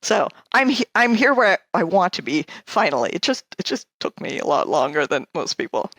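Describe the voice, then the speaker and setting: A woman's voice: on the low side, sing-song, a little fast, a bit bright, husky, no room sound. A woman in her fifties from Canada, a guest architect who tells stories in a chatty, self-deprecating way. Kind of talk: casual interview chat.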